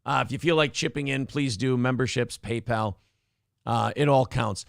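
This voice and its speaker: A man's voice: mid-range voice, moderate pace, gravelly, dry recording. A man hosting a morning show, chatting casually with a dry throat, profane and opinionated, acting out quotes in raised voices. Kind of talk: casual talk-show banter.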